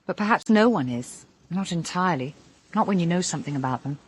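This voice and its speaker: An actress playing a composed, observant woman in a radio drama, British-accented, measured and quietly probing. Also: fairly low pitch, lively intonation, husky. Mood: gently probing. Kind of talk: full-cast radio drama dialogue.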